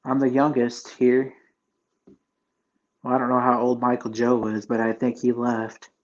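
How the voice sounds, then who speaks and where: A man's voice: mid-range voice, completely flat delivery, unhurried, highs cut off. A man in his twenties chatting casually with his live viewers, rambling loosely with lots of fillers and restarts. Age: 20s. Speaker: livestream host chatting with viewers.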